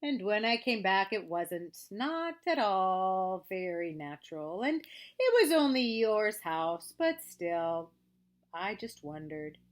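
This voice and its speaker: A woman voicing a gloomy, self-pitying, sarcastic male toy donkey in a children's story, with a slow, melancholy, drawn-out delivery. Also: medium pitch, big pitch swings.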